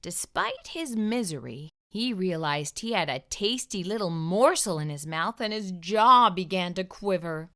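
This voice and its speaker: Bright, animated woman reading a storybook. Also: on the low side, unhurried, few pauses. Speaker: children's storytime host and reader. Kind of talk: expressive children's read-aloud narration.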